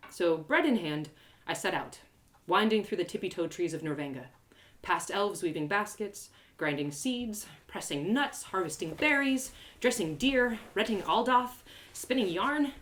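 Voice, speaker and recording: A woman reading a first-person fantasy story aloud in an expressive, theatrical and lyrical way. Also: fairly low pitch.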